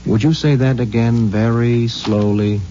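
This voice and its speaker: An actor playing a skeptical New York private detective in a 1950s radio drama, casual, sardonic and businesslike. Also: male voice, mid-range voice, steady tone, measured pace, dark tone, a little hiss or hum, natural voice. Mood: wry, doubtful. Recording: dull, muffled 1950s radio recording.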